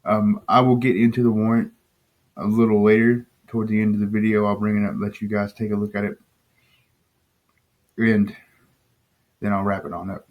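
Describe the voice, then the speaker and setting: Man, low pitch, flat intonation, casual explanatory host commentary, informal, plain-spoken. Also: normal speed, pauses often, muffled recording. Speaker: host commenting on police scanner audio.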